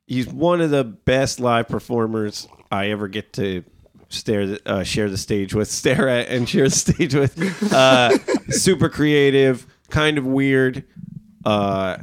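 A man's voice: mid-range voice, expressive, a little slow. A man hosting a casual podcast, laid-back and rambling, laughing often between his words. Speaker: podcast host.

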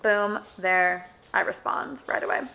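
A young woman with a sore throat and a slightly hoarse voice, hosting her podcast in a casual, friendly solo chat full of "uh" and "like". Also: medium pitch, flat delivery, measured pace, pushed and bright, low-quality recording. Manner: chatty and friendly, with many "uh" and "like" fillers. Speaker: podcast host.

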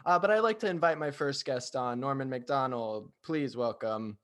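A man playing a hesitant, candid talk show host in an improvised comedy parody, speaking haltingly. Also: mid-range voice, close to an everyday voice. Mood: awkward.

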